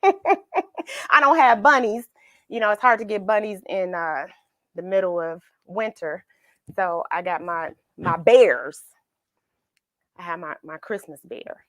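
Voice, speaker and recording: A woman's voice: on the low side, expressive, takes it slowly, pauses often, fairly bright tone, dry recording. A woman hosting a children's read-along, chatting informally in a playful, affectionate, encouraging way and repeating phrases for emphasis.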